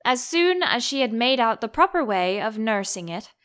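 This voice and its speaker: A woman narrating a storybook as an audiobook reader, in an expressive style. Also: fairly high pitch, moderate pace, smooth.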